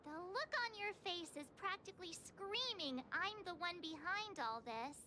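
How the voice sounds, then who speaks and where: Very high-pitched young game girl. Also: animated, unhurried, a little hiss or hum, exaggerated voice. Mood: teasing. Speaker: voice actor in a video game. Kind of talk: scripted game dialogue. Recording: loud game music underneath.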